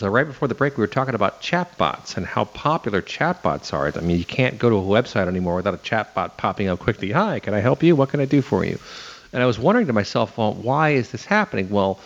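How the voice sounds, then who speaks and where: A man's voice: lowish voice, expressive, fast, hardly any pauses, highs cut off. A man hosting a talk radio show in a chatty, folksy way, putting rhetorical questions to himself and his listeners.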